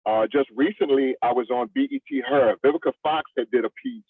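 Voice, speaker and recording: Middle-aged man, from the United States, casual interview talk, colloquial, medium pitch, thin phone-like sound, off the mic. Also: expressive, a little fast, husky, dry recording, recorded from a distance. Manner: colloquial, addresses listener as "man". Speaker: actor, interview guest.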